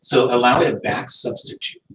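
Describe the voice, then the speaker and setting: A man's voice: medium pitch, fairly quick, fairly bright tone, slight background noise. Man lecturing math in an echoey room. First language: French. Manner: thinks aloud, restarts and rephrases. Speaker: math teacher. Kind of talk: recorded classroom lecture. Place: classroom. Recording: echoey room, distant mic.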